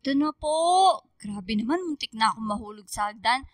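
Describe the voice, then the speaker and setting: A woman's voice: a little high, expressive, normal speed, no room sound, studio quality, close to an everyday voice. A woman acting a grumbling but obedient schoolgirl daughter in a radio drama.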